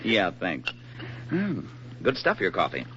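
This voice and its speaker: Bright, lively young soldier. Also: male voice, sits low, slow, slight background noise, heavily acted. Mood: breezy, affable. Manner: jokey, breezy, wisecracking. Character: affable joking young American soldier on leave. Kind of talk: 1940s radio drama acting. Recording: dull 1940s radio broadcast recording.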